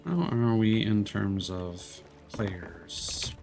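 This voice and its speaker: A man's voice: on the low side, very slow, gravelly. A man streaming a solo game, chatting and musing aloud, with mild complaints, over faint game music. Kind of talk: casual gameplay commentary.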